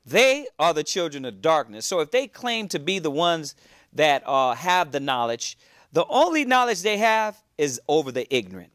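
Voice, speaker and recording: A man's voice: fairly high pitch, big pitch swings, moderate pace, fairly bright tone, dry recording, clean studio sound. A man teaching a religious class on a cable show, earnest and repetitive in his preaching.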